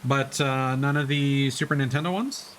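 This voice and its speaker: A man's voice: medium pitch, normal speed, a bit bright, a little hiss or hum. A man doing casual live gameplay commentary, chatty and thinking aloud. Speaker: game streamer.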